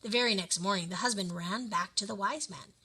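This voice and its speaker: A woman reading a children's story aloud in an expressive, dramatic storytelling manner. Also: mid-range voice, fairly quick, breathy edge. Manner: animated, dramatic delivery of characters.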